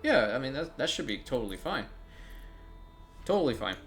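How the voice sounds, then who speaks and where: A man's voice: medium pitch, sing-song, normal speed, fairly bright tone, faint music behind. A man giving casual, rambling commentary while playing a strategy game, thinking aloud and swearing now and then.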